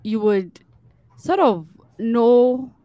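A woman's voice: fairly high pitch, animated, drawn-out words, no echo, dull audio. A woman chatting casually as a podcast guest, earnest and full of "like" and "you know.". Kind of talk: casual conversational podcast chat.

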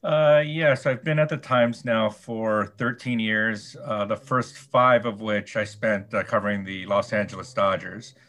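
A man, a sports columnist guest, answering interview questions casually with many pauses, fillers and restarts. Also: fairly low pitch, steady tone, fairly bright tone.

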